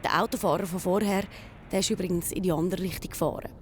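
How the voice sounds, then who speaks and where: A woman's voice: on the low side, steady tone, fast, a little hiss or hum. A woman with a Swiss German accent presenting a children's reportage in an informal, chatty and colloquial way. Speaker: host and reporter of a children's show. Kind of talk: casual children's reportage and voice-over.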